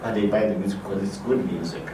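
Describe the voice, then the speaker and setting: A man's voice: lowish voice, fairly even intonation, fast talker. A man who directs an arts foundation, answering interview questions with many fillers and restarts. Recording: echoey room, mic far off, noisy.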